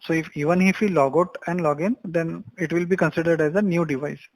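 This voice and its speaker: A man with an Indian accent working as a support agent, explaining account rules in a halting, repetitive way on a call. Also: fairly high pitch, fairly even intonation, no room sound.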